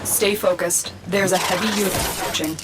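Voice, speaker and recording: A woman playing a grave, commanding guide in a video game, speaking in a solemn, measured way. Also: fairly low pitch, fairly even intonation, heavy background noise, close to an everyday voice. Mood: firm. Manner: solemn, measured, urgent in danger. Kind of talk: video game character acting.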